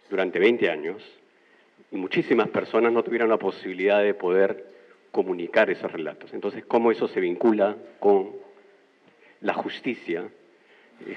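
A lower-voiced Peruvian man with a Peruvian accent stands in the audience and speaks into a handheld mic. He builds a long, formal question in careful academic terms. The sound is dull and boomy, with a long echo in the hall. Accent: Peruvian Spanish. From Peru. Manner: articulate, academic, long-winded. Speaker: audience member asking a question. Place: university classroom. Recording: muffled, roomy lecture hall.

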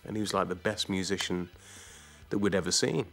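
A man recalling his band's early days in a relaxed, reflective way in a documentary interview. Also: low-pitched, fairly quick, soft background music.

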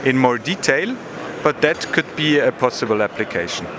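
A man in his thirties or forties giving a measured technical explanation in an interview, pausing to find words, on a handheld microphone. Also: mid-range voice, expressive, crowd noise. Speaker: product manager explaining a demo. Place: busy exhibition hall booth. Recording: noisy trade fair hall ambience.

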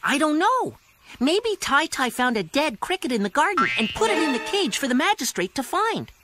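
A woman's voice: sits high, big pitch swings, moderate pace, noisy background. A woman voicing a vain, chirpy little cricket in a children's cartoon, boastful and theatrical. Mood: matter-of-fact. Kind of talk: animated children's cartoon acting. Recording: music bed behind the voice.

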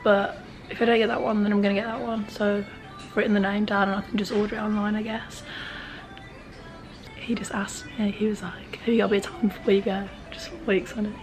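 A woman in her twenties with a Birmingham accent and a hoarse, lost voice, chatting candidly to camera in a vlog from inside a car. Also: mid-range voice, level tone, fairly quick, soft background music. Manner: rambling, candid, frequent "like". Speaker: vlogger. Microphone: handheld camera close up.

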